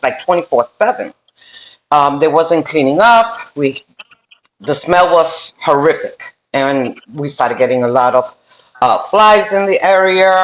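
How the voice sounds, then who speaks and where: A middle-aged woman who grew up in New York and speaks Spanish first, with a Spanish and New York accent. She is a podcast guest telling her life story earnestly, with frequent ums and restarts. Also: deep voice. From New York City. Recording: roomy echoey room sound.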